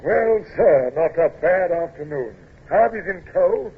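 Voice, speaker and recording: An actor playing a hearty naval first officer with a very husky voice, in old-time radio drama style. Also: male voice, sits high, expressive, unhurried, heavily acted. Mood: satisfied. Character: hearty naval first officer reporting and barking battle orders. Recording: tinny, narrow old radio recording.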